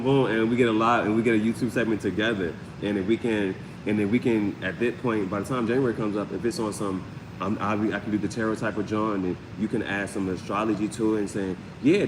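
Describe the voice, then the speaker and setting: A man giving a casual, off-the-cuff live tarot reading as host, often repeating phrases and peppering his talk with slang and sound words. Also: lowish voice. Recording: roomy long reverb, clear steady background noise.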